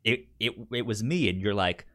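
A man's voice: lowish voice, normal speed, a bit bright. A man in a casual film discussion as a podcast co-host, often stammering and repeating the first sounds of words.

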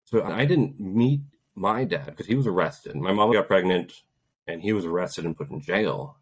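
Low-voiced man in his thirties, earnest guest storyteller, reflective, laughs now and then. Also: animated, normal speed, husky. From Poland. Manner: earnest, reflective, laughs while telling stories. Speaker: guest, Green Beret and author. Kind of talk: casual interview storytelling.

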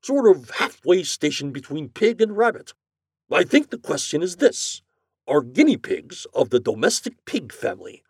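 Man acting a musing, lively company president. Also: a little high, moderate pace, slightly rough, dry recording, studio quality, pitched above the performer's own voice, heavily acted. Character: casual, deliberating company president, lightly authoritative.

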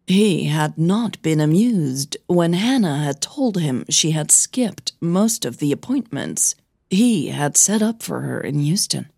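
A woman's voice: sits low, expressive, slow pace, gravelly, a little mellow, slightly rough, no echo, clean studio sound. A woman narrating a fiction audio drama in a scripted storytelling style.

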